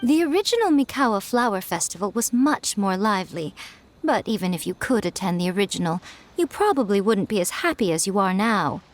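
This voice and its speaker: An actress playing a poised, perceptive young schemer in a video game, with a composed, teasing, knowing manner. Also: female voice, medium pitch, sing-song, fairly quick, lightly acted. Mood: kindly knowing.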